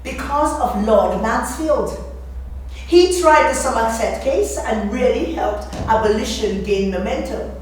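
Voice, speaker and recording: A woman's voice: a little high, animated, a little slow. A woman playing a sly revolutionary Regency novelist in stage dialogue, knowing and pointed. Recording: echoey room, distant mic, faint noise.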